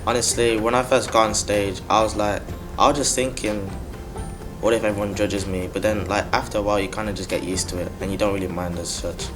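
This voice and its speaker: A man's voice: fairly low pitch, fairly even intonation, fairly quick. A teenage male drama student chatting casually in an interview. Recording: music clearly behind the voice.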